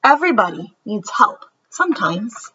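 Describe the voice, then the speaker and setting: A woman's voice: mid-range voice, big pitch swings, normal speed, dull audio, background noise, close to an everyday voice. A woman reading a picture book, voicing a proud, reassuring mother who is patient and encouraging.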